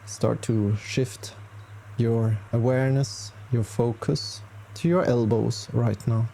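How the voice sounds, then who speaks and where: A man leading a guided meditation as a yoga teacher, speaking calmly and gently with unhurried pauses. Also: medium pitch, vocal fry, a little hiss or hum.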